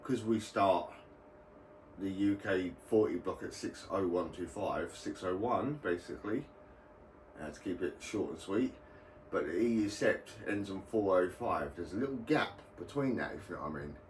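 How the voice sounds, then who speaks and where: A low-voiced British man hosts an informal explainer video, talking in a loose, rambling way. He sounds set back from the microphone in an echoey room. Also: lively intonation, normal speed. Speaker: radio hobbyist video host. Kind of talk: casual explainer vlog. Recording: roomy, distant mic, faint background noise.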